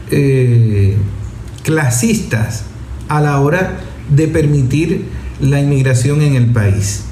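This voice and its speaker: Slow-paced Venezuelan man in a roomy space. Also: fairly high pitch, mellow. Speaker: radio program host. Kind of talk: explanatory radio commentary addressed to listeners. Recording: roomy echo, background noise.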